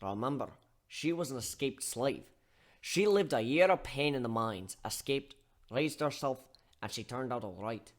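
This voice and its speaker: A man's voice: mid-range voice, expressive, moderate pace, close to an everyday voice. Man putting on a blunt, scolding barkeep. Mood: firm, advising. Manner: blunt, colloquial, lecturing with folksy slang. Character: gruff scolding barkeep, blunt tough-love older male mentor. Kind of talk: dramatic story reading.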